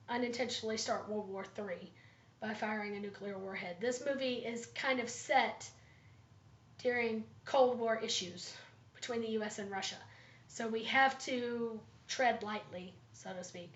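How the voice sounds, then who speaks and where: A woman student giving a class presentation, thoughtful and pausing often with ums, her voice slightly raspy from allergies. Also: fairly high pitch. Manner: thoughtful, with frequent ums and pauses. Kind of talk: class presentation, leadership analysis. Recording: roomy, dull lo-fi recording.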